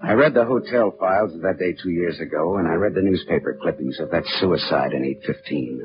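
Actor as low-voiced hardboiled radio detective. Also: male voice, fairly quick, over loud music, natural voice. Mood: terse narration. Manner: clipped, slangy, wisecracking. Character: hardboiled male private detective, sardonic and pushy. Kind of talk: 1940s radio detective acting with first-person narration. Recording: muffled, noisy late-1940s radio recording.

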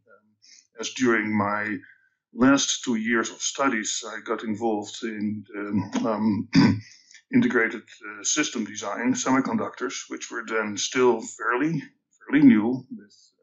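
Older Dutch-accented man, slightly muffled. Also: lowish voice. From Netherlands. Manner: measured, hesitant with many ums and restarts. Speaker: guest. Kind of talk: reflective career storytelling.

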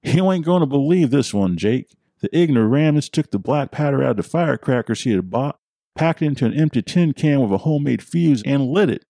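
A man's voice: medium pitch, lively intonation, brisk, creaky, dark tone, dry recording, pitched above the performer's own voice. A man voicing a crude, gossipy old country man who cackles over his stories. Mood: gleeful.

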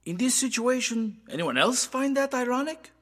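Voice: mid-range voice, lively intonation, normal speed, a bit bright. A performer playing a wry, blunt, scrappy young zombie survivor in an amateur audio drama. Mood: sardonic.

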